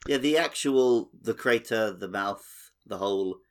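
A man's voice: lowish voice, measured pace. A man co-hosting a podcast, chatting casually about a video game. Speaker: podcast co-host.

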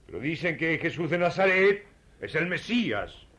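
A man's voice: on the high side, big pitch swings, moderate pace, fairly bright tone. An Argentine man playing a zealous, hot-headed religious persecutor in radio theatre, emphatic and accusatory. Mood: scornful, conspiratorial.

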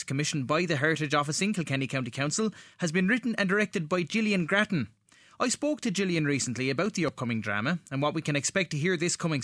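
An Irish man hosting a radio programme, reading scripted links in a formal, deliberate manner. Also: on the high side, a bit bright, no room sound, studio quality. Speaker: radio show host.

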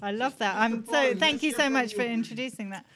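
A woman's voice: on the high side, a little fast, a crowd in the background. A woman hosting a casual chat, encouraging and prompting her guest. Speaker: host.

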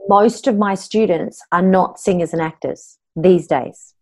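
A woman's voice: lowish voice, unhurried, mellow. A middle-aged woman with an Australian accent, a voice coach telling her own story openly and earnestly in an interview.

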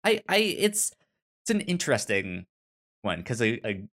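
A man discussing a film casually as a co-host, halting and repeating words as he thinks aloud. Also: mid-range voice, big pitch swings, fairly bright tone. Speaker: movie podcast co-host.

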